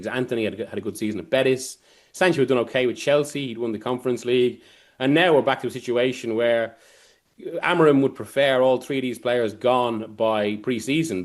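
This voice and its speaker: A man giving football analysis as a guest journalist, rambling and often interrupting himself as he works through a point. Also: medium pitch. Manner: halting, rambling, self-interrupting delivery. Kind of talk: sports discussion, analysis.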